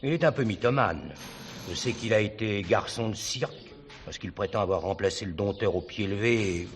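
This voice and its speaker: An actor playing an authoritative, composed gendarmerie captain in a television drama, with a clipped, formal, official manner. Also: male voice, on the low side, normal speed, lightly acted. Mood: matter-of-fact. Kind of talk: 1970s French television drama acting. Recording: noisy old drama recording.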